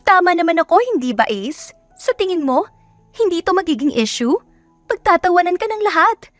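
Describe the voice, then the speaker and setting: A woman's voice: very high voice, sing-song, brisk, no echo, faint music behind, voice pushed up. A woman voicing a jealous, haughty young woman who scolds and accuses in a dramatic audio story. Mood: furious, accusing.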